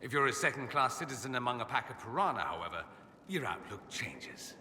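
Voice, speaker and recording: An actor playing an urbane, witty, self-assured aristocrat mage in a video game, articulate, theatrical and sardonic. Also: male voice, medium pitch, sing-song, a little fast, bright, forceful tone. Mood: wry, explanatory. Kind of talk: video game dialogue acting. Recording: echoey hall with ambient noise.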